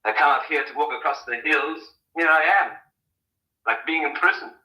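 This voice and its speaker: An actor playing an evasive, anguished husband on the run in a radio murder mystery, speaking in emphatic, hesitant bursts. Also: male voice, fairly high pitch, normal speed, bright, forceful tone, low-quality recording. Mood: restless, apologetic. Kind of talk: radio drama acting.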